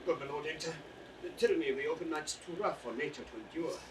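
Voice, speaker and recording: Man doing faithful lord begging, quick delivery, far from mic on noisy old recording. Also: a little high, lightly acted. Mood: urgent pleading. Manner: insistent, entreating. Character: loyal, pleading nobleman attending his king. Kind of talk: classical Shakespearean audio drama acting.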